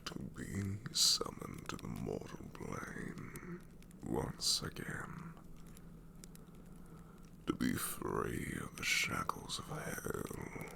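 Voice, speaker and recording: Male VA: ancient shadow demon, sassy and grumbling, very low voice, lively intonation, close-mic ASMR. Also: extremely slow, gravelly, heavily acted. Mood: menacing whisper. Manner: sarcastic, incredulous, grumbling. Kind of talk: ASMR roleplay audio.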